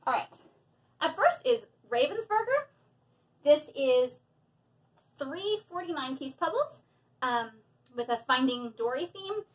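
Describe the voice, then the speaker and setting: A woman in her thirties chatting casually and excitedly while unboxing things in a small room at home, with frequent fillers. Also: sits high, measured pace, pauses often, low-quality recording. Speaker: hobby vlogger.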